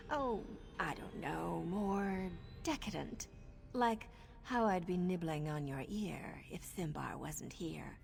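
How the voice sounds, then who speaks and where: A woman's voice: mid-range voice, darkish tone. Flirtatious noblewoman assassin, music behind. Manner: teasing, coquettish, breathy asides. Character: flirtatious noblewoman assassin, playful, wicked, decadent. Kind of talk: video game character acting. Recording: background music under the voice.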